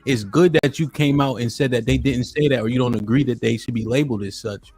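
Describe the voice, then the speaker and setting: A man on a panel calmly summing up the point and steering the talk. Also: medium pitch, fast. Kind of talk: heated panel debate.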